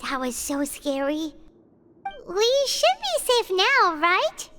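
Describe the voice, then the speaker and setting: A woman voicing a small, childlike, chatty fairy companion in a video game, squeaky and bubbly, often calling herself by name. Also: very animated, slow, background noise, heavily acted. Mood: sleepy, uneasy. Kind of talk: animated video game voice acting.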